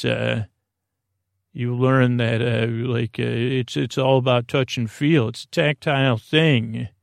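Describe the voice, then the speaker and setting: Man, sleep podcast host, gravelly low-key voice, rambling and halting, dry close recording. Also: dry recording. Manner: halting, full of restarts and asides, silly. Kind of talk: rambling, meandering bedtime monologue.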